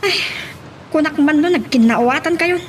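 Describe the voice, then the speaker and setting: Woman as conciliatory aunt, extremely high pitch, questioning, exclamatory, radio drama, noisy background. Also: normal speed, close to an everyday voice. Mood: reasoning. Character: inquisitive, conciliatory aunt questioning her angry niece. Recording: clear background noise, ambience.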